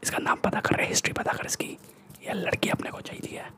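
A man's voice: brisk, natural voice. A young man with an Indian accent playing a cocky, threatening street gangster in a whispered ASMR roleplay, speaking bossy street slang close to the microphone. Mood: scheming, bossy. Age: young adult. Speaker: ASMR performer. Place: small room indoors. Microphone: close-up large desktop microphone.

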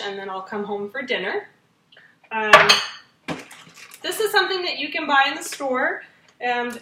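A woman's voice: a little high, pushed and bright, a little hiss or hum. An American woman in her thirties giving a casual, chatty, informal cooking demo to camera in her home kitchen, as a fitness host. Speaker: fitness gym trainer hosting a meal prep series. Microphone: camera mic a few feet away. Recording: echoey kitchen, mic at a distance.

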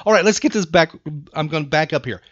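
An American man with a fairly high voice hosts a casual show, stressing and repeating his points. The studio sound is dry and a bit dull. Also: fast, no echo. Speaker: podcast host. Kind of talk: casual talk-show hosting.

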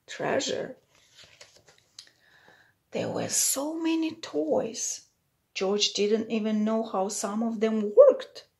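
Creaky, high-pitched woman reading to children. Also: darkish tone. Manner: slow, simple explaining to young children. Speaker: children's teacher reading aloud. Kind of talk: children's picture-book read-aloud with questions to listeners. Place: small plain room indoors.

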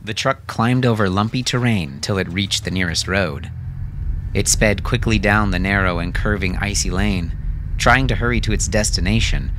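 A man with a low voice narrates a story in a scripted audiobook style. There is clear noise behind him. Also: a bit bright.

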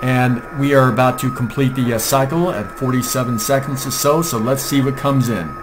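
American man over clear radio noise. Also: mid-range voice, normal speed. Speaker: amateur radio video host. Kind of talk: informal demonstration commentary. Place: indoor home radio desk. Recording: clear background radio static and signal noise. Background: shortwave receiver noise and signals.

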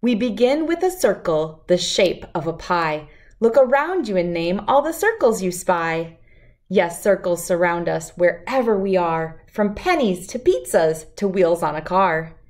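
A middle-aged woman reading a rhyming picture book aloud to children in an expressive, deliberate, lilting way. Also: lowish voice. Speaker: children's read-aloud host.

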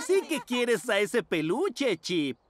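A man's voice: extremely high pitch, heavy background noise, full character voice. A man voicing a kindly, fussy mole schoolteacher in a children's cartoon dub, patient and sing-song. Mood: jolly. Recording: children's music under the voice.

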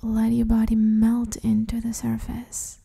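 A woman guiding a meditation in a soft, breathy half-whisper, soothing and gently instructing the listener. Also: fairly high pitch, monotone, a little slow. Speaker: meditation guide, host. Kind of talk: guided meditation, hypnosis-like.

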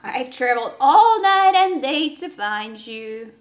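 A woman voicing a polite male talking frog in a storybook reading, slow and coaxing. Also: high voice, animated, voice pushed up.

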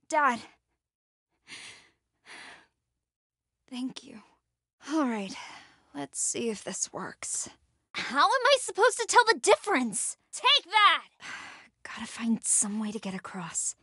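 An actress voicing a guarded, sarcastic, distressed young American woman with powers in a video game, speaking in a breathy, emotionally expressive way. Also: high-pitched, slow pace, heavily acted. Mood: uneasy, muttering, then frustrated, straining, then determined. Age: young adult.

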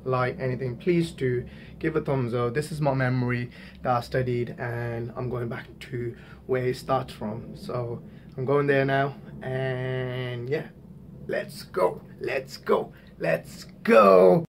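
A man's voice: mid-range voice, fairly even intonation, slow pace, slight background noise. A young man in his twenties from Hong Kong, talking casually to a handheld camera at arm's length and repeating words as he greets his viewers. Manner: chatty, repeats words, addresses viewers as guys. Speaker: vlogger. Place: small room indoors, then outdoor walkway.

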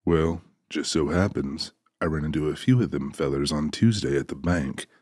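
An actor playing an easygoing, sassy trucker in an audiobook, folksy and teasing. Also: male voice, rumbling low, normal speed, darkish tone, breathy edge, dry recording, clean studio sound. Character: easygoing, sassy male trucker with a joking temper. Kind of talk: dramatized audiobook dialogue.

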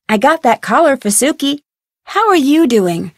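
A woman's voice: high-pitched, sing-song, moderate pace, no room sound, studio quality. A woman voicing a curious, polite young amateur detective in a video game, with a friendly, inquisitive delivery. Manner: inquisitive, friendly, clearly articulated questioning. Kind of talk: video game dialogue, single scripted lines.

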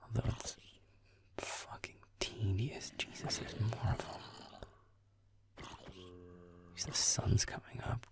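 Man acting a low, whispery, grumpy werewolf boyfriend. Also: fairly even intonation, slow pace, long pauses. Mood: sardonic, fed up. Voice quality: hushed, breathy, gravelly mutter. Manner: sardonic, irritable, swearing under breath. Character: grumpy sarcastic tsundere werewolf boyfriend playing games. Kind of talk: roleplay audio, muttered gaming commentary.

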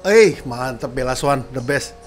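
A man in his thirties giving casual, excitable reaction commentary as a sound engineer, full of slang exclamations, at home in a small room. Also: medium pitch, unhurried. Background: faint music playing.

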